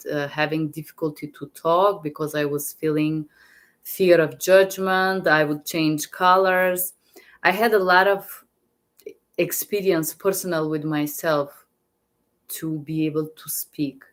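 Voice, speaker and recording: Slow, low-voiced woman with an accent. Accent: Bulgarian. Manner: halting, repeats words, restarts often. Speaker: medical interpreter trainer. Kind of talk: casual live-stream teaching.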